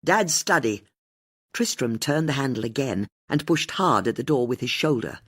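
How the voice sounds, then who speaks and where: A woman with a husky voice, reading a mystery audiobook as scripted narration. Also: a bass voice, normal speed.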